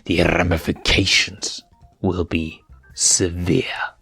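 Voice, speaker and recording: A man voicing a cruel adult male lion villain, threatening in a slow, drawn-out way. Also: deep voice, level tone, creaky, bright, forceful tone, slightly rough, dry recording, soft background music, exaggerated voice. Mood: cold, threatening.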